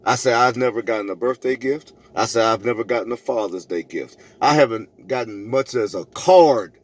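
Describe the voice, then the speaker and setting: A man's voice: mid-range voice, moderate pace. Emphatic middle-aged man telling stories. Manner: emphatic, preachy, repeats phrases for effect. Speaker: vlogger telling a personal story. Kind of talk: animated storytelling to camera, re-enacting arguments.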